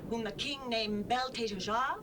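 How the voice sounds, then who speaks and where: A woman playing a high-voiced, calm queen. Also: moderate pace, lightly acted. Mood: reassuring. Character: the queen, calm and respectful counsel. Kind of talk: dramatized scripture reading. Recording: distant voice on hissy cassette.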